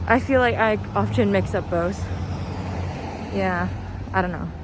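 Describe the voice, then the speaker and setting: A woman's voice: medium pitch, measured pace. A young woman chatting casually as a vlogger while out walking, with hesitant, meandering thoughts. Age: young adult. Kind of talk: casual rambling walking vlog. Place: outdoors, walking. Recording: noisy outdoor street, traffic ambience. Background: street and vehicle noise.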